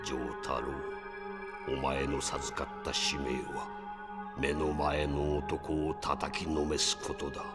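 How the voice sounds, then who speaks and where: Deep-voiced, solemn old grandfather. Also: flat delivery, over loud music. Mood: grave, urging. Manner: grave, deliberate, old-man speech. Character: old grandfather's spirit, solemn, calmly counseling his grandson. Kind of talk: Japanese audio drama acting.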